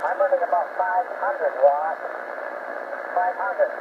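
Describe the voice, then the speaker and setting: A man's voice: a little high, takes it slowly, gravelly. An American man chatting casually as a ham operator, heard over a shortwave radio transmission. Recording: narrow crackly radio signal, heavy static. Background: radio static.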